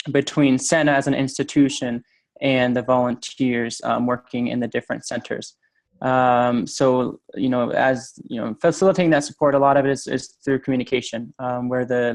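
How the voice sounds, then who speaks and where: Halting man with a flat, even voice. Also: medium pitch. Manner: hesitant, many ums and restarts. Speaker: program staff presenter. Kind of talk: webinar presentation.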